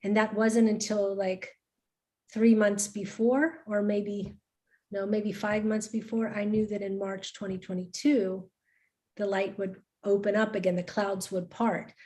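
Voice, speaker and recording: Woman host, chatty and animated, quick restarts. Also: medium pitch. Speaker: webinar host. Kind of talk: casual webinar chat.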